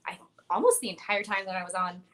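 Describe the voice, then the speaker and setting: A woman's voice: mid-range voice, fast talker, fairly bright tone. A young woman, a wrestler and former radio broadcaster, answering interview questions in a confident, chatty way with frequent filler words.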